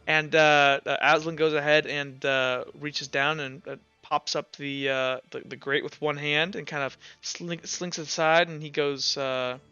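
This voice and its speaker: A man narrating a tabletop roleplaying game as game master, improvising and often halting to search for words. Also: a little high, little pitch movement, pushed and bright, clear, resonant tone, no echo, muffled recording.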